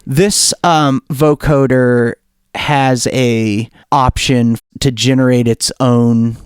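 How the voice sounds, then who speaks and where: A man giving a casual software tutorial, thinking aloud in an informal way as he demonstrates. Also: mid-range voice, steady tone, slow, clean tone, no echo, studio quality. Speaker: music producer demonstrating software.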